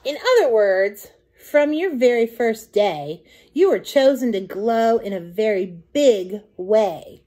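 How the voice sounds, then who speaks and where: Animated, high-pitched woman reading a picture book. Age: middle-aged. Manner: slow, deliberate, sing-song delivery for young children. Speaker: children's storytime reader.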